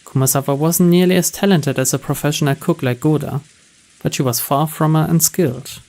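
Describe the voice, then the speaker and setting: A man's voice: fairly high pitch, steady tone, fairly quick, warm tone. A man reading a visual novel's story text aloud as scripted narration. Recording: music and rain behind.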